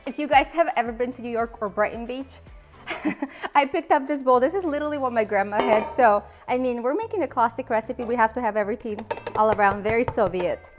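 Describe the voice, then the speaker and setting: A woman's voice: a little high, a little fast, soft background music. A woman in her thirties from Ukraine with a Ukrainian accent, chattily hosting a cooking demonstration and laughing at her own slips. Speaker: cooking show host.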